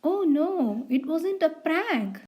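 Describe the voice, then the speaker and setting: Woman as high-pitched scolding cartoon mom. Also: sing-song, slow pace, mellow, exaggerated voice. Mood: stern, concerned. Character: scolding mother of a prankster girl. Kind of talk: animated moral story acting.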